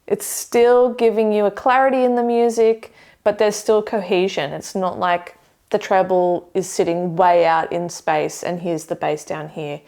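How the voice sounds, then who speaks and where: A young woman seated in a living room, giving a measured, detailed review of speakers to camera. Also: medium pitch, steady tone, vocal fry, mellow. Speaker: audio equipment reviewer. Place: living room with hi-fi shelves, indoors.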